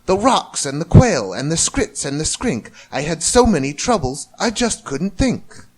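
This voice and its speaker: A man narrating a rhyming children's story in an expressive, theatrical way. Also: fairly high pitch, a little slow, husky. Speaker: storybook reader.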